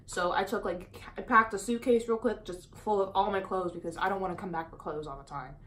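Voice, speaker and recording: A chatty young woman swaps a storytime with her roommate. She sounds animated and talks at an everyday mid pitch, with a loose, joking flow. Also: a little fast, a little hiss or hum. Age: young adult. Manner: chatty and animated, says "dude" and "exactly" often. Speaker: vlogger. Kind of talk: casual storytime chat.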